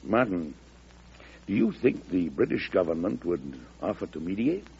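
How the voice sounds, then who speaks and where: Casting: aging head of state, low and husky, firm and proud, vintage radio drama. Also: male voice, sing-song, normal speed, mellow, exaggerated voice. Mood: resolute. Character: aging, firm, proud American president, calm under threat. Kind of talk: old-time radio drama acting. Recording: dull, lo-fi old radio recording.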